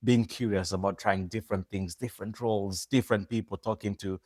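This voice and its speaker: A man from Uganda co-hosting a podcast in casual conversation, animated and quick to laugh, often repeating words as he starts a thought. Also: on the low side, dry recording, studio quality. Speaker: podcast co-host.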